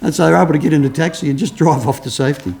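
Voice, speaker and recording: A man's voice: on the high side, steady tone, very fast, gravelly, a little mellow. Earnest man preaching. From Australia. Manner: earnest storytelling, many restarts. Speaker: pastor. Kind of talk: sermon.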